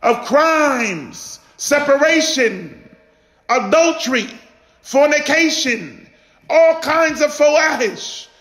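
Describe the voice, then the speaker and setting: High-pitched man preaching slowly and passionately. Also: animated, fairly bright tone. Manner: exhortatory, emphatic repetition and rhetorical questions. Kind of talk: impassioned sermon. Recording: echoey room.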